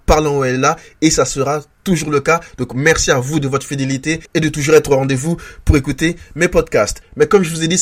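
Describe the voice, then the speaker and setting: A man hosting a solo football debrief podcast, congested with a head cold and a sore throat, talking frankly and often restarting his sentences. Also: fairly high pitch. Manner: frank, repetitive restarts, stammers when tired.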